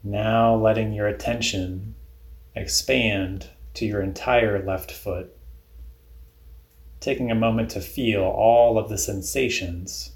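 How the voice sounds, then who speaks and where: A man leading a guided body scan meditation in a calm, deliberate, instructional way. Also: on the low side, echoey room.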